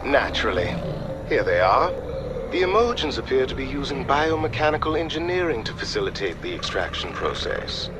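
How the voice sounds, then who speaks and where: An actor playing a calm, learned technical adviser in a video game, speaking formally and matter-of-factly. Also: male voice, mid-range voice, brisk, noisy background, lightly acted. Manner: matter-of-fact, formal, precise.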